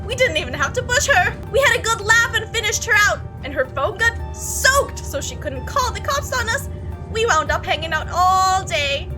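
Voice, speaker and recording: A creepy young man from a visual novel, acted out by a woman, chatters on without pause. The pitch sits high and jumps around animatedly. He is breezy and oblivious, with casual menace under the friendly rambling, and music plays behind. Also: sing-song. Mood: boastful, rambling. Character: talkative, oblivious, creepy young male bus passenger.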